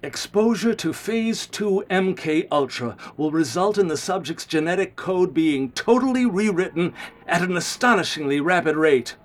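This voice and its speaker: A haunted scientist in a radio drama, his voice pitched somewhat high. He speaks in formal, measured sentences, ominous and heavy with guilt. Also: lively intonation. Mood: ominous warning. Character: grave, guilt-ridden male scientist confessing catastrophe.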